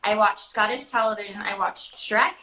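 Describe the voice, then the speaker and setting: A woman's voice: medium pitch, steady tone, normal speed, pushed and bright, echoey room, low-quality recording. A woman hosting a casual live chat, explaining things informally as she answers viewer questions. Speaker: children's party princess performer and live-stream host.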